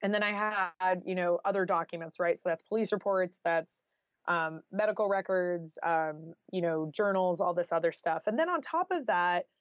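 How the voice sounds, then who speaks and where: A woman's voice: lowish voice, normal speed, no echo, muffled recording. A woman giving conversational interview answers as a guest author, explaining informally with lots of "like" and "you know".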